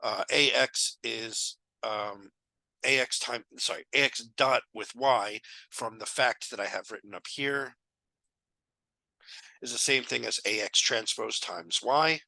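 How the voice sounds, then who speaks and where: A man in his thirties talks through math proofs in a relaxed, thinking-aloud way, his voice hoarse and gravelly from illness. His tone is sharp and bright. The sound is dry and close, from a headset mic in a small room. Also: medium pitch, measured pace, no room sound, low-quality recording. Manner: casual, thinking aloud with fillers. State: sick, losing his voice. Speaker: math tutor. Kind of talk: casual lecture walkthrough.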